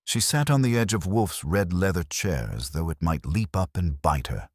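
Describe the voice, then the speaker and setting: A man's voice: low voice, animated, moderate pace, husky, dry recording, studio quality. A man narrating a scripted first-person detective story in a wry, hardboiled manner.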